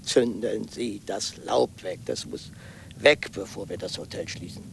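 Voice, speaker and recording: Gravelly, irascible hotel landlord. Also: male voice, on the low side, measured pace, a little hiss or hum. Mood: angry, scornful. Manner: gruff, blustering, contemptuous. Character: irascible, scolding male hotel landlord bossing an employee. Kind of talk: German radio play acting.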